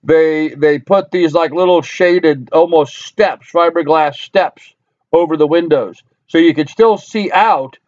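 A man's voice: a little high, slow, a little mellow, no echo. A middle-aged American man from Long Island, New York, talking viewers casually through what he sees in a rambling, repetitive way. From Long Island, New York, USA. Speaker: vlogger. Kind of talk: casual talk-through commentary. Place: small cluttered wooden-ceilinged room indoors. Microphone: computer webcam at desk distance. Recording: dull webcam microphone sound.

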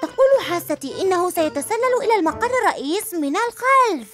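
An eager young lizard hero. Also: female voice, very high-pitched, fast talker. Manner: eager, lively. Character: six-year-old lizard-costumed boy hero, eager. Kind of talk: Arabic cartoon dub. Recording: studio dub with loud music.

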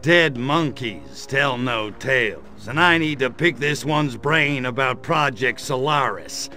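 A man voicing a sarcastic gray alien invader in a video game cutscene, delivering deadpan quips. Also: mid-range voice, slow, soft background music. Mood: sardonic.

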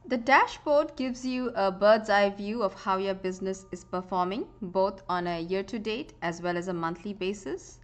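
A woman gives a relaxed screen-recorded walkthrough of a spreadsheet. Her voice sits in a middle pitch, and she talks in a steady, explanatory way with frequent "uh" fillers. Keyboard typing can be heard under her voice. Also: moderate pace, hardly any pauses. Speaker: software tutorial presenter.

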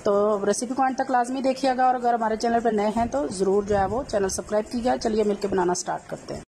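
A woman, hosting a cooking channel and narrating a recipe step by step, often filling pauses with "jo hai vo". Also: a little high, a little hiss or hum. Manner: leans on the filler "jo hai vo", gentle instructive tone.

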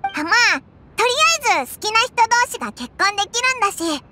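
Tiny game sidekick, very high childlike voice, swooping intonation, cheeky, blunt, boyish wording. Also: female voice, big pitch swings, fast talker, noisy background. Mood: musing, pleased. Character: small floating childlike companion, cheeky, blunt and excitable. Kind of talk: Japanese game voice acting.

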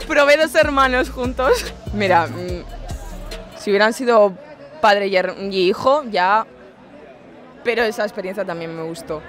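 A woman's voice: medium pitch, sing-song, slow, long pauses, over music, crowd noise. A young woman with a Spanish accent from Spain, speaking boldly and provocatively, often laughing, into a handheld mic outdoors at night. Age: young adult. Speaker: interviewee. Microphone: handheld mic held by interviewer.

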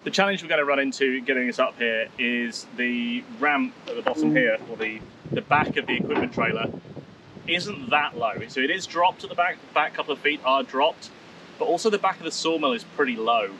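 A man in his thirties, a vlogger, talking outdoors in a wooded clearing and explaining things step by step. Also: medium pitch, animated, a little fast, very noisy. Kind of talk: casual explainer vlog.

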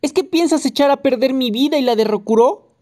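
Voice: brisk, lightly acted. A reader voicing a hysterical young mother who shrieks and scolds into a phone in an audiobook. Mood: shrill scolding. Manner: shrill, yelling, scolding.

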